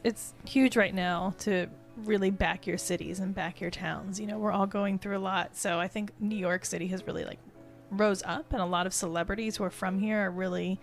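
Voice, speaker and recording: A woman's voice: medium pitch, creaky. A woman co-hosting a podcast in a casual, chatty style. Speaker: podcast co-host. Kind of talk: casual chat.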